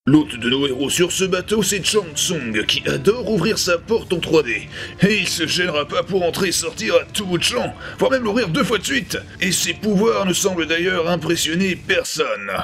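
A man's voice: high voice, expressive, moderate pace, a bit bright, soft background music. A man in his twenties hosting a comedy review, narrating a script in an ironic, slangy way. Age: 20s. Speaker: host of a comedy review show.

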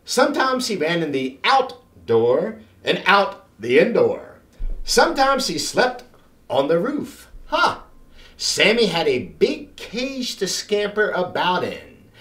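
A middle-aged man reads aloud to children in an expressive, theatrical way with deliberate phrasing. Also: sits high. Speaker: children's storybook reader. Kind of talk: animated read-aloud for children. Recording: roomy, echoing sound.

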